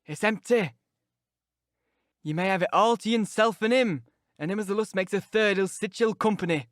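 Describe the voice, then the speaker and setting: A man's voice: high voice, moderate pace, fairly bright tone, dry recording, clean studio sound. A man doing a scolding old Yorkshire servant. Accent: Yorkshire dialect. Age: older. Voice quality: broad rustic drawl. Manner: scolding and grumbling. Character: grumbling pious old male servant, scolding.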